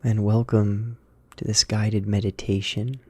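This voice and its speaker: A man narrating a guided sleep meditation in a soft, soothing, unhurried voice with long pauses. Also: fairly low pitch, little pitch movement, husky, no room sound, studio quality. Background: meditation music with singing bowls.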